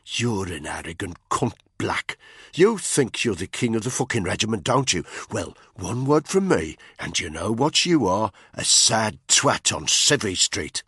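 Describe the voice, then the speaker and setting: A man's voice: on the low side, sing-song, a little slow, sharp tone, husky, no room sound, clean studio sound, heavily acted. A man voicing a scornful, hostile male soldier who sneers and swears, in an audiobook reading. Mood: sneering.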